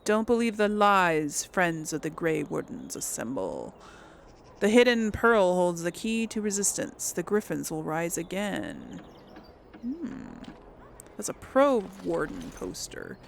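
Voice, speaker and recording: A woman's voice: on the low side, very animated, takes it slowly, vocal fry, a little hiss or hum. A woman giving casual let's play commentary, musing aloud and rambling to her viewers. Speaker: gaming video host.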